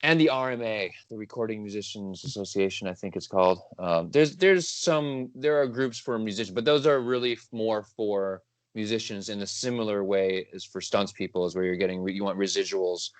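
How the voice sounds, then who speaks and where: Low-voiced man chatting dryly. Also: fairly quick, dry recording. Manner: rambling, laughs easily, self-correcting. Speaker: guest composer. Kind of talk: casual interview chat.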